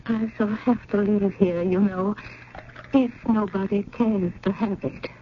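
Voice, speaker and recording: A woman's voice: medium pitch, fairly even intonation, unhurried, natural voice. An actress playing an earnest, anxious, genteel woman in a 1970s radio drama, speaking in a refined, fretful way. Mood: flustered, genteel. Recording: muffled old radio, faint noise.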